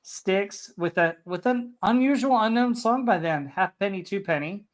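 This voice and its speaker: A middle-aged man hosting a podcast, chatting casually and reading out a list with relaxed "uh"s between items. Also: high voice, moderate pace. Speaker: podcast host.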